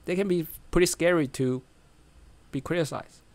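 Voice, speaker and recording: A man's voice: on the high side, darkish tone, no room sound. A man in his thirties with a Chinese accent speaks casual English into a close studio mic in a small room, stopping often to restart his sentences. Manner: halting, many restarts and fillers. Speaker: vlogger. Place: small bright room indoors. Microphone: studio mic close in front.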